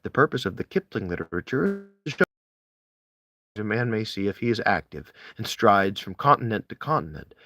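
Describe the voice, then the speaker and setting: A man's voice: medium pitch, fairly bright tone, dry recording. A man reading a literary essay aloud with theatrical, emphatic phrasing. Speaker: amateur audiobook reader.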